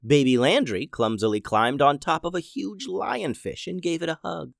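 A man's voice: a little high, expressive, moderate pace, vocal fry, fairly bright tone, no echo, studio quality. Playful man with a scratchy voice. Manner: playful and silly. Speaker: podcast host and storyteller. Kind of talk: kids' story narration.

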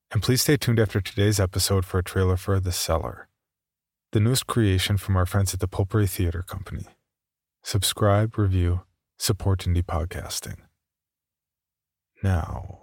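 A man's voice: deep voice, a little mellow, no echo. A man reading scripted announcements as the host of a podcast, close on the mic.

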